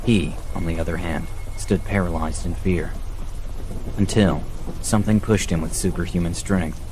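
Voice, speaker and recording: A man's voice: low-pitched, fairly even intonation, moderate pace, noisy background. A man reading a scripted horror story as its narrator.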